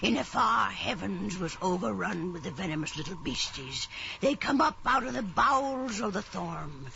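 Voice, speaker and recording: A man's voice: high-pitched, lively intonation, normal speed, gravelly, pushed and bright, exaggerated voice. A man voicing a creepy, folksy old horror host who spins campy terror tales with gleeful menace. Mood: ghoulish relish. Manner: folksy dialect, gleeful ominous ranting. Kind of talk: campy horror radio storytelling. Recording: dull, noisy lo-fi recording.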